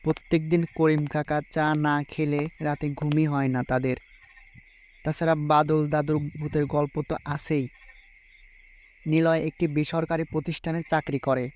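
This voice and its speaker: A man's voice: on the high side, flat delivery, a little slow, a little mellow. A man reading scripted narration for a cartoon story. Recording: faint noise with night insects.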